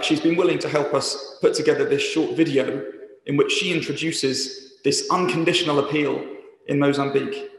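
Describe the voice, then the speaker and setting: A man speaking to a church as a charity's guest speaker, giving an appeal talk. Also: on the high side. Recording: echoey church hall.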